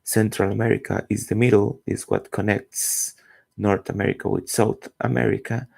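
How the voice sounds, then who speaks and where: Gravelly Costa Rican man in his forties. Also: on the low side, flat delivery, moderate pace, a little mellow. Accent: Costa Rican Spanish. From Costa Rica. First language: Spanish. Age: 40s. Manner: rambling, searching for words. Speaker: comic artist streaming his drawing. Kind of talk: casual chat while drawing.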